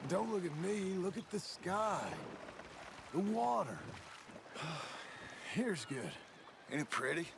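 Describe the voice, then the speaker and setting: A gruff frontier outlaw settling down as a rancher in a western game. His American voice sits a little high for a man and crackles with gravel. He talks slowly and haltingly, plain and sincere. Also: lively intonation, exaggerated voice. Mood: easygoing. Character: John Marston, gruff earnest frontier outlaw turned rancher. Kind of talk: dramatic video game acting.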